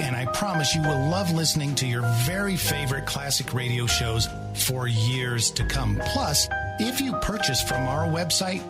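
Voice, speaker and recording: A man reads a scripted introduction and pitch as a radio show host. His voice sits at a medium pitch, with loud music playing underneath.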